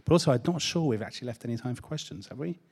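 A man's voice: mid-range voice, expressive, racing, a little mellow, husky. Dry teasing man co-hosting. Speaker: podcast co-host at a live show. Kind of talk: live conversation.

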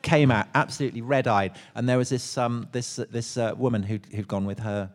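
A man co-hosting a live talk, speaking in an animated, emphatic way with mock indignation. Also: mid-range voice, fairly quick. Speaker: podcast co-host at a live show. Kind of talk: lively live conversation.

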